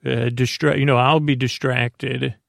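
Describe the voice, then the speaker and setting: A man with a creaky voice hosting a sleep podcast, rambling through a bedtime monologue with halting, stammered, repeated words. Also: medium pitch, little pitch movement, no echo, clean studio sound.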